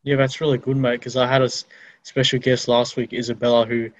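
A young man with an Australian accent hosts a casual interview. His voice sits at an ordinary male pitch, and he talks in a friendly, informal way. The sound is dry, with little room around it. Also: flat delivery, fairly quick, no room sound. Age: teenager or young adult. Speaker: podcast host.

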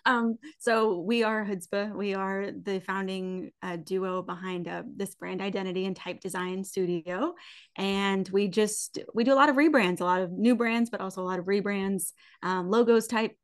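An American woman chatting as a guest on a podcast, animated and casual, with lots of "like" in her speech. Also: mid-range voice, normal speed. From United States. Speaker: podcast guest, studio co-founder. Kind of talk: casual interview chat.

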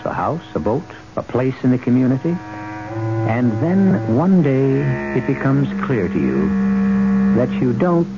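An actor as the host of an old-time radio mystery, narrating in a brooding, deliberate manner. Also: male voice, mid-range voice, very animated, music in the background. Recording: muffled old radio broadcast.

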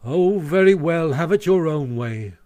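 A man's voice: on the high side, expressive, a little slow, dark tone, voice pushed up. Guy doing a storybook rat, well-mannered worrier, a bit fussy and mild. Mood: humble. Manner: pedantic, mild. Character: fussy, polite, anxious male water rat.

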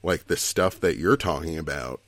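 A man's voice: extremely low pitch, normal speed, dry recording. A man in his forties co-hosting a podcast, chatting casually, laughing often and restarting his sentences. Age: 40s. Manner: laughs often, thinks aloud with many restarts. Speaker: podcast co-host. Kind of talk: casual chat.

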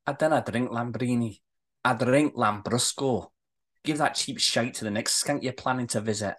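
Feisty Scottish woman for a drama read-through, acted by a woman, indignant, plain-spoken and sharp-tongued. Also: extremely low pitch, moderate pace, pitched below the performer's own voice. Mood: blunt. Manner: blunt, scolding, colloquial. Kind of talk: amateur script read-through, acted dialogue.

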